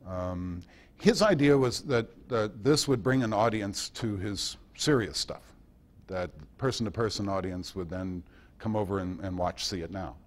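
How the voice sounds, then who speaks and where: Low-voiced man giving an informal talk. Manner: halting, reflective storyteller. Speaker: guest speaker.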